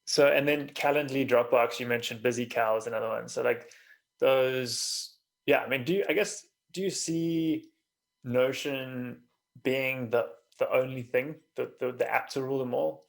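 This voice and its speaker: A man hosting a conversational podcast interview, halting as he pieces his questions together. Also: medium pitch, fairly even intonation, creaky. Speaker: podcast host interviewing a guest. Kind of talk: casual interview chat.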